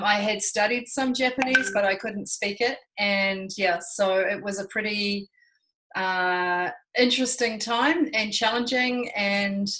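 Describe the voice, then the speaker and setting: A woman in her thirties from New Zealand with a New Zealand accent, co-hosting a casual chat in a relaxed, reassuring, fluent way. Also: medium pitch, poor audio. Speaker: co-host.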